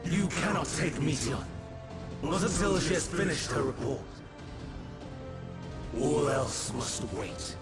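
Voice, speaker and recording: Defiant protector over very loud music. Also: male voice, a little high. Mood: defiant, urgent. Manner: firm, deliberate, dramatic. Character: defiant man guarding his charge against authority. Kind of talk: scripted video game acting.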